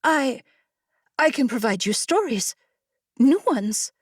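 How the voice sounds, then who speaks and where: A woman voicing a quick-witted female scholar bargaining earnestly and persuasively in a fantasy audiobook. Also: high-pitched, very animated, husky, no room sound, studio quality, full character voice. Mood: pleading, determined. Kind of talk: fantasy audiobook character acting.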